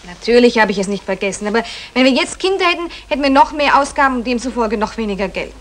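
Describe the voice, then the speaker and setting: An actress playing a discontented, pleading young wife in a vintage German crime radio drama. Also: female voice, on the high side, lively intonation, fast talker, natural voice. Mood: uneasy, pleading. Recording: vintage radio drama, clicks, faint noise.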